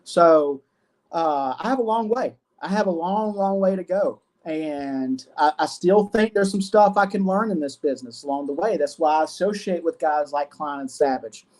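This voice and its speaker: A man's voice: on the high side, normal speed, a little mellow. A man in his twenties, a wrestling guest answering earnestly and often repeating himself. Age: 20s. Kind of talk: casual interview.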